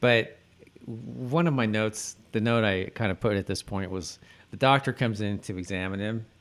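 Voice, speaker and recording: A man co-hosting a podcast in casual chat, rambling and often correcting himself mid-sentence. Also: on the low side. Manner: rambling, halting, self-correcting. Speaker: podcast co-host. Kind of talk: casual chat about a TV episode.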